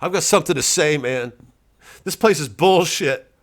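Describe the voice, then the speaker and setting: A man voicing a belligerent male worker in a comedy bit, declaiming loudly that he has something to say. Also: a little high, normal speed, pitched above the performer's own voice, close to an everyday voice. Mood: indignant. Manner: loud, declamatory.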